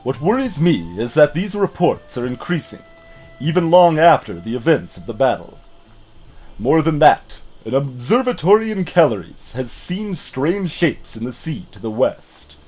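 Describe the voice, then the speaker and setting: A man streams a game in a fairly high voice with very lively, swooping intonation. He moves playfully between casual chat and reading game text aloud. Faint game music plays behind him. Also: normal speed.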